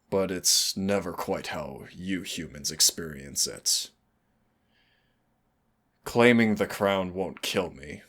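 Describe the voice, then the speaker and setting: A man playing a suave, brooding immortal demon in an intimate roleplay audio drama, speaking with measured, solemn and darkly affectionate drama. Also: deep voice, a bit bright, full character voice. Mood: solemn, reflective. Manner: teasing endearments, measured, solemn dramatic delivery. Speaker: demon hunter's mentor and partner.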